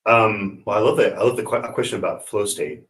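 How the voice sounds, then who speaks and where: A man, a voice actor, chatting casually with quick interjections and laughing agreement. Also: lowish voice, a bit bright. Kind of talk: casual chat.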